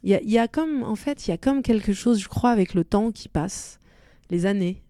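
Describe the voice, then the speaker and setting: A woman's voice: medium pitch, lively intonation, a little fast, dark tone, no echo, clean studio sound. A woman whose first language is Arabic, a singer-songwriter talking thoughtfully about her music in an interview, often restarting as she looks for the right words. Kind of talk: relaxed interview chat.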